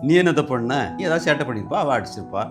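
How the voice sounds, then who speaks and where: A man acts a busy father in an amateur children's skit. He speaks very rapidly and dismissively, brushing off his son, while music plays clearly behind. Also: medium pitch, expressive, natural voice. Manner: brusque.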